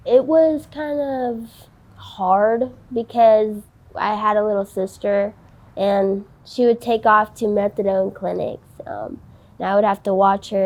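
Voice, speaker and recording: A woman of nineteen in a candid interview, speaking softly and haltingly with many ums and likes. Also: medium pitch. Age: young adult. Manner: hesitant, many ums and likes, soft-spoken. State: tearful, voice breaking.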